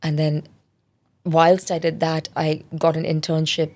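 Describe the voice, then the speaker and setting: A woman's voice: low-pitched, level tone, measured pace, darkish tone. A woman in her forties, born in India, speaking as a parenting specialist in a candid, reflective interview. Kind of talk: conversational interview.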